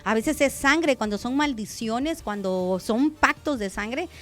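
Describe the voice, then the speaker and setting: A woman's voice: on the high side, animated, takes it slowly, a bit bright, soft background music. Woman pastor preaching on the radio. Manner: conversational preaching, addresses listeners as brother. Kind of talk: radio sermon.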